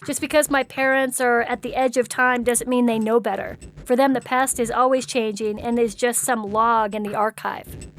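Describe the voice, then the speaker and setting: A woman's voice: fairly high pitch, fairly even intonation, moderate pace, soft background music, lightly acted. A woman playing a defiant, resentful young woman in a dramatized story reading.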